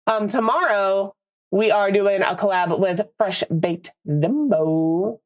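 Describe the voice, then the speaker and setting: A woman's voice: medium pitch, slow pace, dull audio. Young woman streamer with a stuffy, slightly nasal voice. Chatty and rambling, laughs easily, casual live chat. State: congested, a little nasal.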